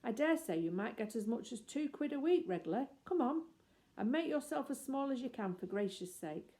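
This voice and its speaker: Woman acting a slangy, excitable fairground stallholder. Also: on the high side, moderate pace, pitched above the performer's own voice. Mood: excited, bargaining. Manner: colloquial, slangy, wheedling.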